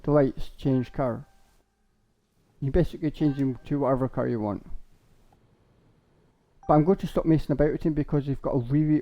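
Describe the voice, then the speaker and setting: A young man with a sore, hoarse throat, rambling casually and laughing as he shows off a house in a game. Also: medium pitch. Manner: rambling, chatty, laughs a lot, says basically often. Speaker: gaming streamer. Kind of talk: casual Minecraft house tour. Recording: dull lo-fi stream audio.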